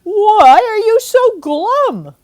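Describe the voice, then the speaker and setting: A wise chipmunk aunt from a children's storybook , acted out by an older woman, booms out with cheerful authority. The words are stretched and emphatic, and the pitch swings widely. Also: big pitch swings, heavily acted. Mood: booming surprise. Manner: loud, emphatic, drawn-out declaiming.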